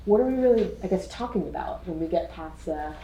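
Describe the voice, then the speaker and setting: A woman's voice: fairly low pitch, lively intonation, a little fast, warm tone. A woman panelist thinking aloud in a chatty, musing way, with frequent restarts. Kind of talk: casual panel discussion. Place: lecture hall. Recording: echoey hall with faint noise.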